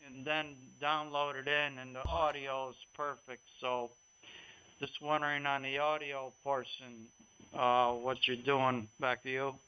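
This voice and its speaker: A man's voice: medium pitch, little pitch movement, a little slow, pushed and bright, clean tone. A man talking casually as an amateur radio operator over a radio transmission. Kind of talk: casual on-air ham radio chat. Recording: noisy radio transmission with hum.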